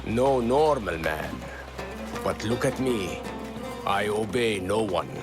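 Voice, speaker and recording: A man's voice: on the low side, animated, takes it slowly, music in the background. A man acting as a proud, defiant rebel leader in a video game, with a challenging way of speaking. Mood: probing.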